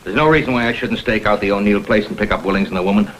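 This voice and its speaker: An actor playing a blunt, sardonic, exasperated police detective in a vintage crime drama. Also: male voice, fairly low pitch, very fast, fairly bright tone, lightly acted. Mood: impatient. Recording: noisy vintage drama soundtrack.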